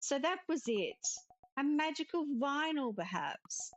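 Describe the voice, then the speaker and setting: A woman's voice: sits high, big pitch swings, measured pace, dull audio, faint music behind, pitched above the performer's own voice. A woman voicing a friendly, eager and curious young witch in a cozy game. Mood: curious, surprised.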